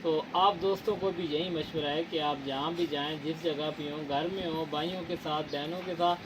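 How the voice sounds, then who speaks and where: A man's voice: a little high, steady tone, moderate pace, noisy background. A man from Punjab, Pakistan hosting an interview in a casual chat, speaking in an earnest, lecturing way with formal words and many fillers. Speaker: interviewer, channel host.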